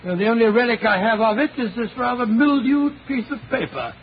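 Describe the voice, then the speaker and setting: Older English gentleman storyteller, high voice, genial, deliberate, muffled vintage radio drama. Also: animated. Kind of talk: 1940s radio acting. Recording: muffled old radio broadcast, hissy.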